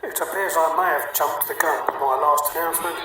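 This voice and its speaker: A man's voice: on the high side, a little fast, background noise. A man playing a formal, apologetic train announcer over a tannoy in an amateur radio play. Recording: very echoey distant tannoy with music.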